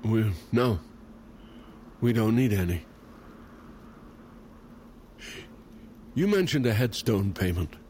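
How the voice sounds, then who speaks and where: A man's voice: lowish voice, expressive, a little slow, mellow, slight background noise. A man playing a determined, persuasive fugitive investigator in an audio drama. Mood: insistent. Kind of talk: audio fiction acting. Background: music.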